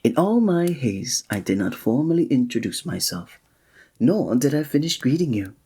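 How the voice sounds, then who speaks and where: An actor playing a flirtatious, courtly male village innkeeper in an ASMR roleplay, speaking in a formal, breathy way. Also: mid-range voice, big pitch swings, normal speed, warm tone. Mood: welcoming.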